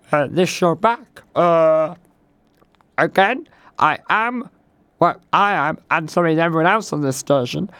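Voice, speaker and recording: A man's voice: high-pitched, fairly bright tone, dry recording, voice pushed up. A man doing a chirpy, nervous old radio DJ. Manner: nervous, stumbling, self-correcting. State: losing his voice. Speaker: radio host. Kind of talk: comic radio show parody.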